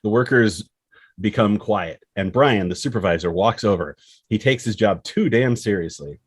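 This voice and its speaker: A man's voice: fairly low pitch, animated, normal speed, breathy edge, no room sound, studio quality. A man reading scripted screenplay action and scene headings as the narrator.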